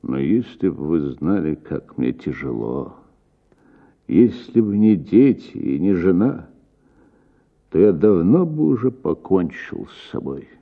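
An actor playing a self-pitying middle-aged idler and sponger in a radio story, plaintive and melodramatic, full of wounded protest. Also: male voice, low-pitched, very animated, takes it slowly, phone-quality sound, heavily acted.

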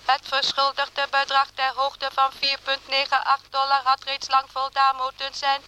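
An actress playing a stiffly courteous, menacing creditor, reading formal letters mechanically in a radio drama on a noisy recording. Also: female voice, sits high, monotone, moderate pace, pushed and bright. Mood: coolly threatening.

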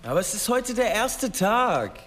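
A woman's voice: medium pitch, very animated, measured pace, a bit bright, slight background noise. A woman voicing a cheeky, whiny teenage schoolboy.

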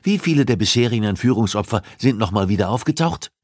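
A male narrator plays a cold male criminal profiler in an audiobook. He speaks with commanding, deliberate insistence at a raised pitch. The sound is dry and free of room. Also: lively intonation, darkish tone, no echo. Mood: grave, insistent. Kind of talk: audiobook dialogue acting.

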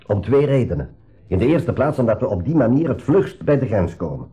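An actor with a Belgian Dutch accent playing a practical, eager submarine helmsman who reasons briskly in a school radio play. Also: medium pitch, big pitch swings, warm tone, lightly acted. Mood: eager, reasoning. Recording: noisy radio drama recording.

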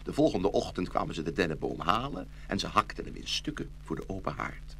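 Guy narrating a fairy tale, super animated pitch, bit of background noise. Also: brisk, creaky.

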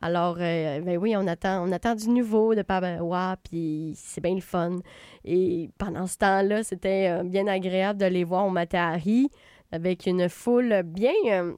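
A woman's voice: lowish voice, moderate pace, a little mellow, clear, resonant tone, no echo, studio quality. A woman with a Québécois accent hosting a radio show, chatting loosely about a festival with lots of fillers and restarts. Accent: Québécois French. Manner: rambling, chatty, many fillers and self-corrections. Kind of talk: casual spoken review. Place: radio studio.

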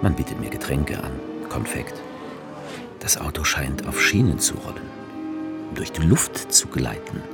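A sardonic male writer telling his own journey in a radio play, his voice sitting very deep and gravelly. He speaks with a lively, rising and falling melody, and a music score runs underneath. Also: a little slow, full character voice. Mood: wry. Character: male writer travelling to Tripoli, wry and sardonic. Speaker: narrator as author character. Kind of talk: radio drama narration.

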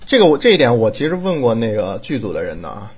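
A man's voice: mid-range voice, big pitch swings, fast, slightly rough. A man chatting casually as a drama director, rambling and repeating himself for emphasis. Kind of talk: casual chat.

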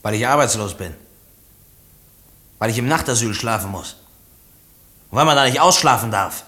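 A destitute, jobless outcast in a radio drama. His voice sits at middle pitch and swings widely, with a bitter, defiant, desperate edge. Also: animated, moderate pace, pushed and bright. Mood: desperate outburst. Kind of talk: German radio play acting.

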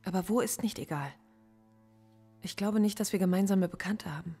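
An actress playing a philosophy professor under interrogation in a radio play, answering in short, cool, guarded replies. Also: female voice, mid-range voice, moderate pace, warm tone, slightly rough, soft background music. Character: composed female philosophy professor, stubborn and proud. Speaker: suspect in interrogation.

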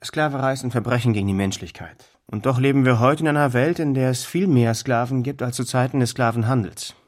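Actor as an earnest, mid-pitched proclaiming man. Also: fairly quick, natural voice. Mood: grave. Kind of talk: theatrical stage declamation.

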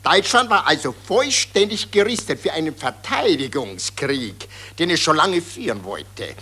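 An actor playing an opinionated, lecturing newspaper-reading patriot with an Austrian accent and a husky, sharp voice in a satirical radio dialogue. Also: male voice, fairly high pitch, very animated, moderate pace, slight background noise. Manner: lecturing, self-assured. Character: opinionated, know-it-all male patriot newspaper reader.

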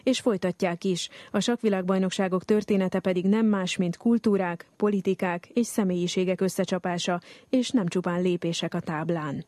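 A woman narrating a radio science program in a measured, explanatory way. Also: lowish voice, fairly even intonation, darkish tone, no echo, clean studio sound. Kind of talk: scripted narration.

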